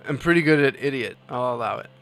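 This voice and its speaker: Young male streamer, mid-pitched, loose and rambling chat with frequent laughs, faint music bed. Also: expressive, fast, a bit bright. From New Jersey. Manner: rambling, laughs often, reads chat aloud. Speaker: livestream host. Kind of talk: casual chat with live chat.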